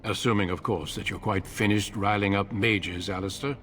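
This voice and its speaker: Man as low-voiced stern commander. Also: fairly even intonation, a bit bright, slight background noise, natural voice. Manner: measured, stern, authoritative. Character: grave, commanding male warden leader. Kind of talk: dramatic video game acting.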